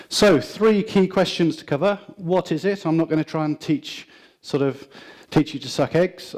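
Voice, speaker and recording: Male speaker, slightly high voice, relaxed conference presentation full of restarts. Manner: conversational with frequent ums and restarts. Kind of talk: business presentation.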